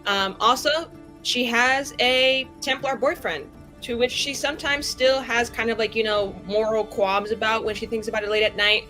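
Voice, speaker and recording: A woman's voice: a little high, steady tone, normal speed, pushed and bright, faint music behind, poor audio. A woman introducing her tabletop game character casually and jokingly, chatty and full of slang and fillers. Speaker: tabletop roleplaying player.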